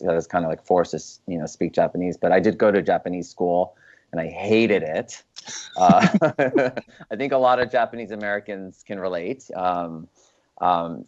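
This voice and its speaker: A young man from Los Angeles, an actor being interviewed, talking casually with lots of ums and you-knows. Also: low-pitched. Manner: relaxed, rambling with many fillers. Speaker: guest actor. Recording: dull lo-fi sound.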